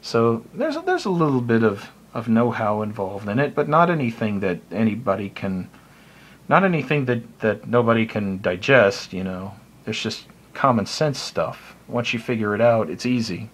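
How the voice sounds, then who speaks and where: A man's voice: fairly low pitch, big pitch swings, normal speed. An American man chatting casually and unscripted as he explains his hobby, in a rambling, plain-spoken and opinionated way. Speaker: shortwave radio hobbyist giving tips.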